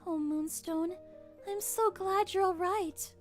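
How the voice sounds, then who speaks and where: Woman as a very high-voiced gentle pony queen. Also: slow pace, faint music behind. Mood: warm affection. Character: gentle, kind, humble young pony queen. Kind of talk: animated fan drama dialogue.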